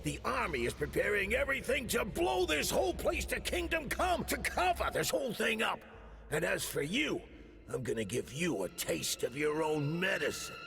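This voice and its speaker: A man playing a tough, sardonic gangster hero in a video game cutscene, brash, slangy and gravelly. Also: high voice, sing-song, normal speed, heavily acted. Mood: sarcastic menace. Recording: music behind.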